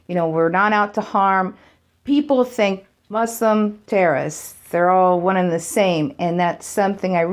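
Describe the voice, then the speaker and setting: A woman's voice: medium pitch, a little mellow. A middle-aged American woman speaking in an earnest, plainspoken way in an interview, with light laughs. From United States. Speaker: local businesswoman. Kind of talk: interview testimony.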